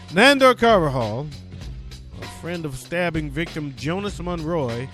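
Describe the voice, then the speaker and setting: A man hosts a comic news podcast with a fairly high voice. He reads out strange crime stories and breaks in with sarcastic jokes. Faint music plays behind him. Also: sing-song, slow pace, a bit bright. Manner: sarcastic, joking asides. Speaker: podcast host.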